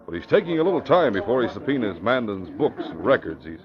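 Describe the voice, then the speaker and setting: An actor playing a wary, plain-spoken middle-aged police commissioner in 1940s radio style, measured and matter-of-fact. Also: male voice, mid-range voice, big pitch swings, music in the background, close to an everyday voice. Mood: wary, explaining. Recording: muffled old radio broadcast.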